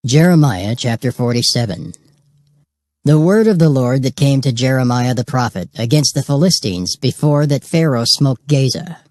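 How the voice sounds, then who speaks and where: A man's voice: medium pitch, animated, normal speed, darkish tone, no room sound. Dry-recorded man narrating scripture formally. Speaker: scripture narrator announcing chapters.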